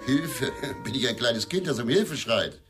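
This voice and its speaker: A man's voice: medium pitch, expressive, measured pace, music in the background, natural voice. A man playing an embittered, hard-drinking ex-detective in a crime radio play, combative and defiant. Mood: scornful. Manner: scornful, defiant.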